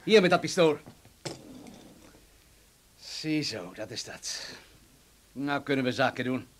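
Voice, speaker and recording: A man's voice: medium pitch, expressive, slow. A man playing a violent, gloating bully in a radio play, taunting and menacing. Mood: cold, gloating. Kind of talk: radio drama acting. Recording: noisy old radio drama recording.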